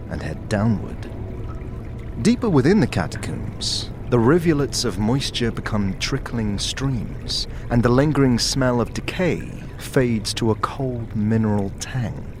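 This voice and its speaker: A man narrating a dramatic second-person story in a measured, atmospheric manner. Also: mid-range voice, expressive, music in the background. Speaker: narrator and lead player.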